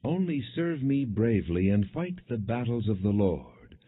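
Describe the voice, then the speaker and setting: A man's voice: lowish voice, sing-song, unhurried, slightly rough, full character voice. A man reading the words of a jealous, commanding king in an imperious, brooding manner for an audiobook. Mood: scheming. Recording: muffled, phone-like narrow band.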